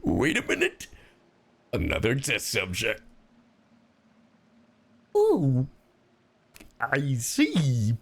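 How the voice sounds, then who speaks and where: A man livestreaming casual game commentary, excitable and reactive, swearing freely. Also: medium pitch, very slow, gravelly, pauses often, breathy edge.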